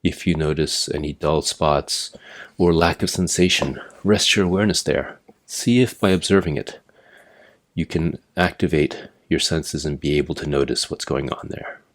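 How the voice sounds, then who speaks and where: Very deep-voiced man guiding meditation. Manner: measured, imperative step-by-step guidance. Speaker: meditation instructor. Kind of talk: guided meditation narration, instructional.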